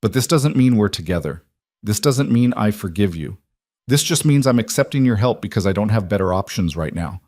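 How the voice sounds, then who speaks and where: A man's voice: fairly low pitch, expressive, brisk, a little mellow, dry recording. A man plays a weary, wary woman, pregnant and estranged from her husband, in an audiobook romance. The delivery is firm and guarded, recorded very dry.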